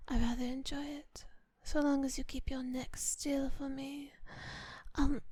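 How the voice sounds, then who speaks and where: Woman playing a soft, high-voiced genteel vampire mistress. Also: level tone. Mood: indulgent, pleased. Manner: soft, breathy, often whispered, unhurried endearments; refined, formal diction. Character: kind, genteel vampire mistress, doting and quietly commanding. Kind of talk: intimate ASMR roleplay addressed to the listener. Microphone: close microphone in a dry space.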